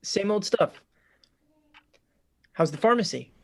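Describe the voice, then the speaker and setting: An actor reading a reserved young male college student in a radio play, giving short, quiet replies. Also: sits high, lively intonation, slow pace, gravelly, heavily acted.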